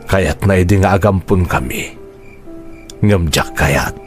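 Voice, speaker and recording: A man's voice: deep voice, takes it slowly, faint music behind. A man playing a middle-aged, remorseful father in an audio drama, patient, earnest and pleading. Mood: solemn, confessional. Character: remorseful, patient father confessing to his son. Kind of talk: Ilocano audio drama acting.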